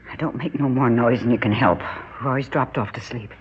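A woman's voice: very deep voice, moderate pace, slight background noise. An actress playing an anxious, plainspoken rural American mother in a radio drama, urgent and folksy. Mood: hushed resolve. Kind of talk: 1960s radio drama acting. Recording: dull old radio drama recording.

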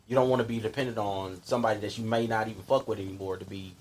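A man's voice: lowish voice, steady tone, rapid-fire, a little mellow, a little hiss or hum. A man in his late twenties in casual chat, giving blunt, profane advice full of slang. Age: late 20s.

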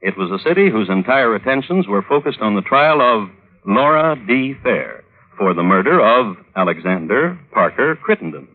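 A man giving wry, mock-solemn scripted narration for an old-time radio drama, deliberate in delivery, on a muffled vintage recording. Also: on the low side, very animated.

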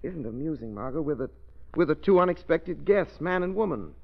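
An actor playing a calm, shrewd gentleman detective in a vintage radio drama, speaking in a measured, deliberate way. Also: on the high side, gravelly. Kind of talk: 1940s radio acting. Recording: muffled old radio recording.

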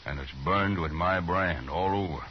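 An American actor playing a stubborn cattle rancher in a 1950s radio Western, with a clipped, firm, terse delivery. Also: male voice, sits low, normal speed, natural voice. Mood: grimly determined. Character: stubborn, stern cattle rancher, adult man. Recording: muffled, noisy 1950s radio recording.

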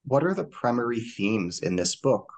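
A man hosting a formal academic interview, measured and polite. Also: mid-range voice, very animated. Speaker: interview host.

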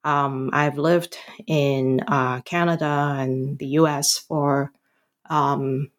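A middle-aged woman from Hong Kong, a historian being interviewed about her book, answering haltingly with many ums and restarts. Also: sits low, steady tone. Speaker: guest author, historian.

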